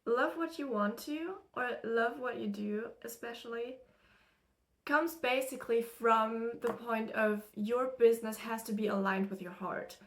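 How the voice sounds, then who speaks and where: A woman's voice: a little high, fairly even intonation, measured pace, a bit bright. A young woman from Germany with an American English accent, chatting in a rambling, casual way with lots of fillers while she does her makeup in her room. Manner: chatty, rambling, laughs often, many fillers. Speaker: spiritual channeler and content creator. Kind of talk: casual get-ready-with-me chat. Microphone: camera used as a mirror, close-up.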